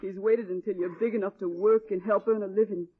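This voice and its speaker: An actress playing a weary, sharp, resentful waitress mother in an old-time radio drama. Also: medium pitch, steady tone, brisk, breathy edge, natural voice. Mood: bitter, hurt. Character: weary, bitter, possessive mother working as waitress. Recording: muffled, tinny old radio recording.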